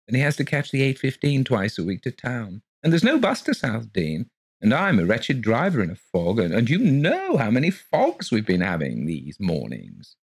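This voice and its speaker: A man's voice: medium pitch, huge pitch swings, normal speed, creaky, breathy edge, dry recording, pitched above the performer's own voice, exaggerated voice. A man voicing a gushing, fluttery middle-aged woman who is eager to rent rooms. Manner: effusive, breathless, fluttery.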